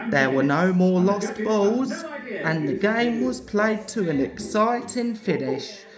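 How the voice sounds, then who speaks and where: A woman reading a children's story as an expressive narrator. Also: mid-range voice, slow pace, crowd noise. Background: music.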